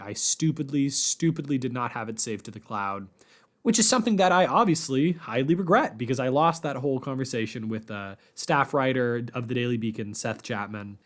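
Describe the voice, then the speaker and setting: A man hosting a podcast in a casual, chatty, informal way with animated emphasis. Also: medium pitch. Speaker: podcast host.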